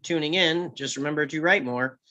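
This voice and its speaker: A man's voice: a little high, brisk, muffled recording, over a noisy crowd. A man in casual discussion, talking in a rambling, hedging way peppered with "you know". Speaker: table-read panelist.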